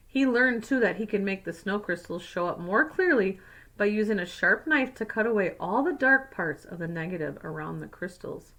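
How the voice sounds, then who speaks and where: A woman teacher reading a picture book aloud to young children, adding simple explanatory asides. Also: fairly high pitch. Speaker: second grade teacher.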